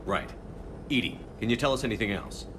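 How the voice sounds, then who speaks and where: An actor playing a resolute space military commander in a video game, serious, terse and decisive. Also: male voice, on the low side, fairly quick, background noise, close to an everyday voice. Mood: resolute, urgent. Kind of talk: video game cutscene dialogue. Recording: long reverb, game music behind.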